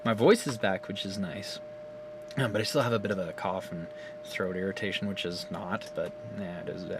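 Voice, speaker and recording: A man's voice: low-pitched, normal speed, background noise. A man recording himself on his phone camera at home, giving a casual, rambling and profane recap of a game's story, laughing often. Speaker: gaming video creator.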